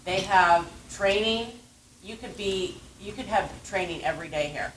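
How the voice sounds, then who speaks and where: A woman with a loud voice giving emphatic, energetic advice as a panelist. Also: medium pitch, unhurried, slight background noise. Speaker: real estate panelist. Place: meeting room. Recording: echoey room from a distance.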